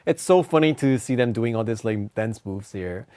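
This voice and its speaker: A man's voice: on the low side, no room sound, studio quality. A man hosting a casual radio show and teaching expressions, laughing often. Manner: fast, animated, switching between English and Korean, laughs often. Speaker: radio DJ and Korean language teacher. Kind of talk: casual radio chat with language lesson.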